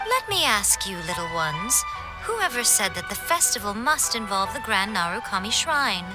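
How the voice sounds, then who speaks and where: A woman voicing a poised, teasing noble lady, unhurried and playfully condescending, in a video game. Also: mid-range voice, sing-song, natural voice, rough recording. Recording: music behind the voice.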